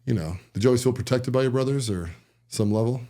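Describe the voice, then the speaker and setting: A man's voice: medium pitch, fast, dark tone. A man hosting a podcast, asking questions in a laid-back, joking way. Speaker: podcast host. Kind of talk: casual family interview.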